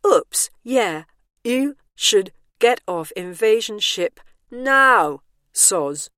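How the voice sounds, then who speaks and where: A woman's voice: mid-range voice, lively intonation, extremely slow, a bit bright, no room sound, studio quality, voice pushed up. A woman voicing a sulky alien scout who talks in texting slang.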